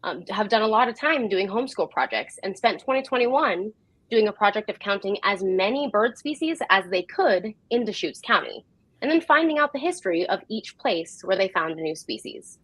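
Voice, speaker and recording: A woman's voice: mid-range voice, expressive, normal speed, a bit bright, no room sound, poor audio. A woman presenting as host of a live program, reading a prepared introduction in a welcoming, presenter-like way. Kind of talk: scripted live show introduction.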